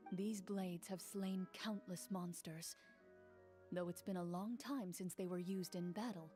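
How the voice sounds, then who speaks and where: An actress playing a calm, mysterious game master, narrating a fantasy adventure in a measured, dramatic way. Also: female voice, on the low side, lightly acted. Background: music.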